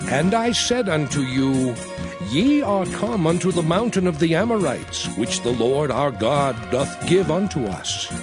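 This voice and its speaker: A man's voice: a little high, sing-song, a little slow, over music. An actor playing a commanding prophet who addresses his people in solemn, declamatory dramatized scripture. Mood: earnest, solemn. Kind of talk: dramatized scripture oration, King James English.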